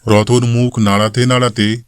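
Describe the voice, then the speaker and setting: A man narrating a scripted cartoon story in a measured manner. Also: mid-range voice, little pitch movement, clear, resonant tone, no echo, studio quality.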